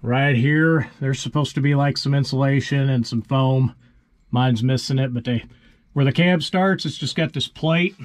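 A man's voice: mid-range voice, normal speed, a little hiss or hum. A man hosting a farm video, casually explaining tractor features in a plainspoken, folksy way and thinking aloud as he goes.

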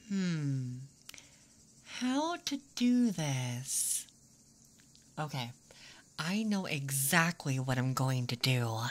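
Slow, teasing tomboy played by a woman. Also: deep voice, fairly bright tone, full character voice. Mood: flirty, bossy. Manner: teasing, drawling, slipping into whispers. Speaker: ASMR roleplay performer. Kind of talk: ASMR roleplay, intimate second-person acting.

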